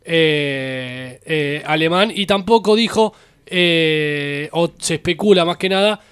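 A man's voice: on the high side, slow, fairly bright tone, smooth, dry recording, studio quality. A man hosting a talk show, reporting political news in a conversational, slightly hesitant way. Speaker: radio host. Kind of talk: news presenting chat.

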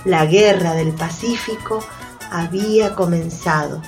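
A woman performing as the narrator of a satirical historical radio play, with measured, scripted storytelling. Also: fairly low pitch, over music, natural voice. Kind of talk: scripted dramatized narration in Spanish.

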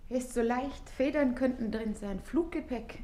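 A female actor's fairly high voice plays a wistful young lover in a radio drama. She sounds fragile and restrained, with a questioning way of speaking. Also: normal speed, warm tone, natural voice. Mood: resigned, wistful. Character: wistful young woman parting from her lover. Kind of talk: literary radio play acting.

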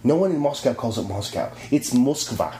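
A man's voice: medium pitch, animated, moderate pace, mellow. A man in casual chat, ranting with lots of energy and swearing freely. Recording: roomy echo, faint hum.

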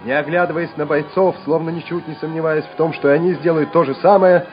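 A man's voice: on the high side, fairly even intonation, quick pace. A man narrating a literary radio play in a dramatic storytelling style. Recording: dull lo-fi radio recording over music.

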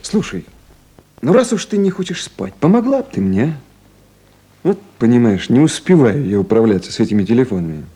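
An actor playing a kindly official on night duty in a 1970s Soviet radio play, gentle and coaxing or businesslike. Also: male voice, medium pitch, sing-song, a little fast, husky. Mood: reassuring, coaxing.

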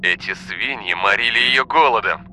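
Man doing a young guy on the phone, very bright, urgent, raised voice. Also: on the low side, normal speed, a little hiss or hum, voice pushed up. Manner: agitated, urgent.

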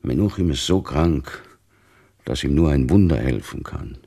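Weary devout father, very low creaky voice, grave measured delivery, radio drama. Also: lively intonation, warm tone, full character voice. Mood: resigned. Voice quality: gravelly, husky. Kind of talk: literary radio play acting.

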